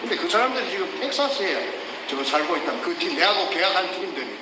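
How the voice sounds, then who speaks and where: An older man with a Gyeongsang Korean accent gives heated, emphatic testimony, often shouting rhetorical questions. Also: high-pitched, very animated, fast. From South Korea. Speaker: whistleblower. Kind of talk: emotional testimony. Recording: echoey distant room with noise.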